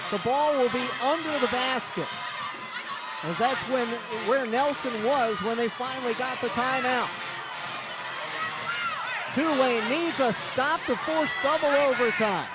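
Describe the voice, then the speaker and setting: A male play-by-play announcer with a very high-pitched, projected voice calls a live game for radio. His phrases come urgent and clipped, straight from the venue into a broadcast mic. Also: measured pace, over loud music, over a noisy crowd, rough recording.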